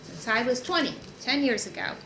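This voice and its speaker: A woman's voice: on the high side, lively intonation, moderate pace. Woman reading as a guarded fireman, echoey room. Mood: assured. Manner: defensive, dismissive. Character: guarded, uneasy thirty-year-old fireman in a novel. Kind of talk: audiobook character reading. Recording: roomy echo with clear background noise.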